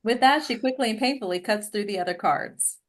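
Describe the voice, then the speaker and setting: A woman's voice: medium pitch, brisk. A woman reading stage directions as scripted narration at a table read.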